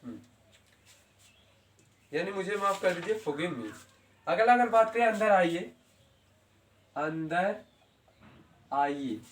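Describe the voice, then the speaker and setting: Slow, patient male English teacher. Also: high voice, expressive, reverberant, distant mic. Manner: slow, repeats phrases word by word, checks if students follow. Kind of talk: spoken English lesson in Hindi.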